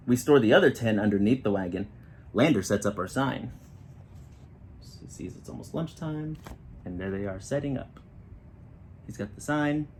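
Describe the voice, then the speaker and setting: A man reading a children's picture book aloud in an expressive storytelling style, with short explanatory asides. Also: medium pitch, slight background noise. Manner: expressive, acting out children's dialogue.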